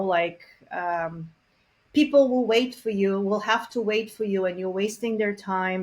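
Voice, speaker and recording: A woman, a guest in an interview, talking earnestly and reflectively with frequent pauses and fillers. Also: mid-range voice. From Bulgaria. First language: Bulgarian. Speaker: guest expert on multilingual parenting. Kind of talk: conversational interview.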